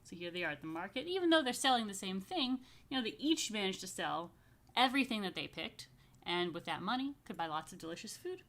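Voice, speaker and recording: A woman reading a picture book to young families as a rabbi, stretching key phrases expressively and slipping into chatty asides. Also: medium pitch. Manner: expressive, slow emphatic storytelling with chatty murmured asides. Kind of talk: children's story read-aloud with casual asides.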